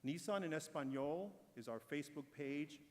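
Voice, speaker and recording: A man's voice: fairly high pitch, expressive. A man giving a prepared, encouraging speech as a corporate speaker at an awards ceremony. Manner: measured, encouraging delivery. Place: large event hall. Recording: long hall reverb.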